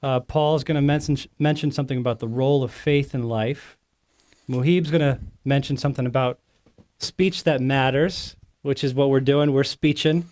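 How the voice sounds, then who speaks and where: A man's voice: mid-range voice, steady tone, moderate pace, darkish tone. A man in his thirties hosting a casual podcast, talking in a friendly, rambling way and often correcting himself. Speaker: host.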